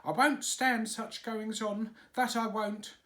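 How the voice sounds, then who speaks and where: A man voicing an indignant young dairymaid who wails and complains in a shrill voice. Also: fairly even intonation, takes it slowly, pushed and bright, exaggerated voice. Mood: indignant, wailing.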